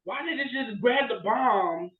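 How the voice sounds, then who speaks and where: A man with a high voice talks casually as a podcast co-host. He tells stories in an animated way, often seeking agreement. The recording is echoey and somewhat muffled, with limited bandwidth. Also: a little slow. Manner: animated storytelling with frequent "right?" check-ins. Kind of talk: casual movie chat, retelling plots.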